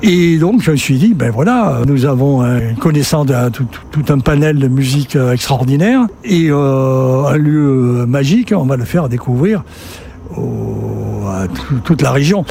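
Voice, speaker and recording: A man's voice: mid-range voice, lively intonation, a little slow, gravelly, dark tone, slight background noise. An older man from southern France, a festival director telling his story conversationally in an interview, rambling and repeating words as he goes. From Sète, southern France. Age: 60s or older.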